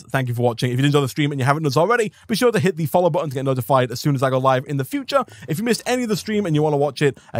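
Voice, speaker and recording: A man commentating his own live gameplay stream in an upbeat, rambling way, thanking viewers, his voice a little hoarse. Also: mid-range voice, dry recording, studio quality. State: slightly hoarse.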